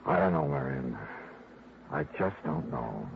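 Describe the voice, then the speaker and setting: An actor playing a cool, wry insurance investigator and narrator in a 1950s hardboiled radio drama, terse and dry. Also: male voice, rumbling low, lively intonation, unhurried, gravelly, heavy background noise, full character voice. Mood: somber, gentle. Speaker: lead detective and narrator. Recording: tinny, narrowband old radio with music.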